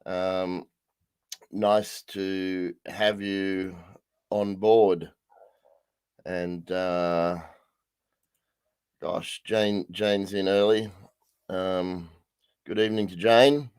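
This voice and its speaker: A male pastor with a croaky voice leading a livestreamed Bible study, halting with many ums and restarts. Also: low-pitched, steady tone, darkish tone.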